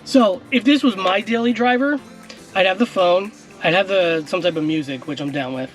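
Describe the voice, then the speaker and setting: A man's voice: sits high, moderate pace, soft background music. A man in his forties, a tech vlogger, casually walking through a car's screen from inside a parked car, with lots of fillers. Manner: chatty, relaxed, filler-heavy. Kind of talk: casual demo walkthrough. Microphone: action camera in car.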